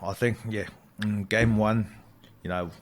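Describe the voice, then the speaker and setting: A young man from New Zealand, a rugby league player talking as a guest, soft-spoken and hesitant with many pauses. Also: lowish voice, fairly even intonation. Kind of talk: casual interview chat.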